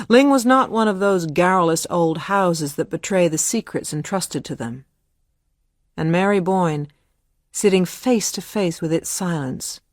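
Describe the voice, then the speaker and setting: A woman narrating a literary ghost story for a radio play, measured and solemn. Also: on the low side, no echo, studio quality. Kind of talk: scripted narration.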